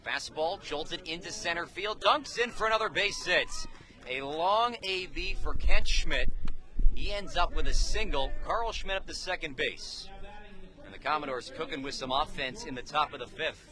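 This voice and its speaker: A man's voice: a little high, moderate pace, a crowd in the background. A man calling live baseball play-by-play for radio in clipped, telegraphic phrases. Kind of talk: live sports commentary. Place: outdoors at a baseball game.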